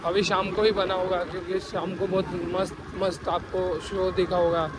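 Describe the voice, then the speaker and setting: A young man with a slightly hoarse voice narrating a casual motovlog to his viewers, chatty and friendly, calling them guys and brother. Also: high-pitched, level tone, moderate pace. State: hoarse from dust. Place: outdoors on busy city streets with traffic. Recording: noisy outdoor street recording. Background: traffic and motorcycle engine noise.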